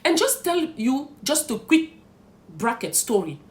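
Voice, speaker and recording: A woman's voice: sits high, sing-song, measured pace. A middle-aged woman from Cameroon whose first language is French, giving emphatic immigration advice to viewers and posing rhetorical questions. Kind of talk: direct-to-camera advice talk.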